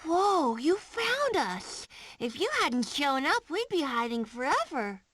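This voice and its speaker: An excitable, easily put-out cartoon animal child in a game. The voice is high and swings widely in pitch, over faint noise. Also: female voice, slow pace, full character voice. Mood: grateful. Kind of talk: animated video game voice clips.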